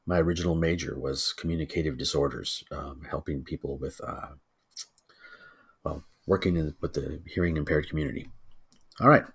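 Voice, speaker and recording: A man giving a casual, informal tutorial as a teacher, thinking aloud and muttering asides to himself in a husky voice. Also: rumbling low, steady tone, moderate pace, fairly bright tone. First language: English.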